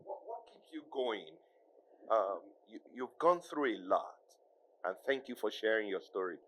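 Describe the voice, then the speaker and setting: Casting: audience man, low voice, polite and hesitant. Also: big pitch swings, moderate pace, fairly bright tone, a little hiss or hum, poor audio. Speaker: audience member.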